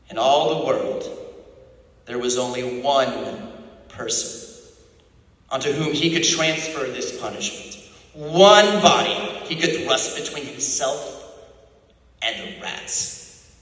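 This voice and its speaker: Male narrator for dramatic fiction, intense and theatrical, forceful tone, heavily reverberant space. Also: mid-range voice. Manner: intense, theatrical, with suspenseful pauses. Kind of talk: dramatic literary reading. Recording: very echoey, reverberant room.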